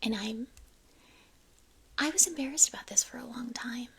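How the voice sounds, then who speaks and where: A woman whispering softly through a livestream in a quiet home room, chatty and a little self-conscious, speaking into a cheap microphone while holding her phone. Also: fairly high pitch, normal speed, breathy edge. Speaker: livestream host. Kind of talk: whispered ASMR-style livestream chat. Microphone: cheap USB microphone, phone held in hand.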